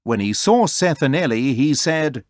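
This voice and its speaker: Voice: mid-range voice, very animated, unhurried, dry recording, studio quality. A storyteller reading a children's story aloud as scripted narration.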